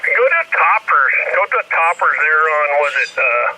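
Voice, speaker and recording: A man's voice: on the high side, lively intonation, moderate pace. A man chatting casually over two-way radio in a rambling, slangy way. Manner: rambling, slangy, often says dude and swears mildly. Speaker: amateur two-way radio operator. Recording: noisy, muffled two-way radio transmission.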